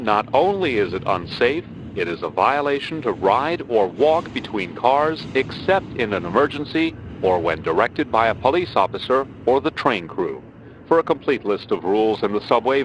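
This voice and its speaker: A man's voice: medium pitch, big pitch swings, moderate pace, highs cut off, a little hiss or hum. A man reading scripted public service announcements as a subway train announcer.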